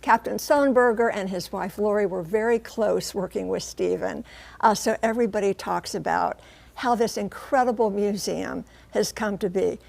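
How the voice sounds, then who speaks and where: An American woman reporting and explaining the news on television, with emphatic, deliberate phrasing. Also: medium pitch, gravelly, a little mellow.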